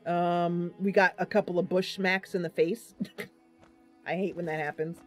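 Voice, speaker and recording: A woman's voice: lowish voice, fairly even intonation, unhurried, soft background music. A woman giving casual live commentary as she plays and narrates a roleplaying game. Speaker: game streamer.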